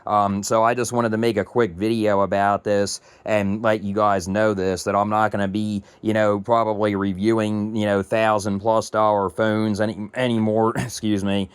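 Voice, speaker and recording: A man in his early thirties talks to his viewers in a low, flat, meandering way, full of ums and restarts. His voice is clear but a touch raspy from allergies, and the recording is close and dry. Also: moderate pace, few pauses, no room sound. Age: 30s. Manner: rambling, many ums and restarts. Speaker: tech YouTuber. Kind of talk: casual vlog talk to camera.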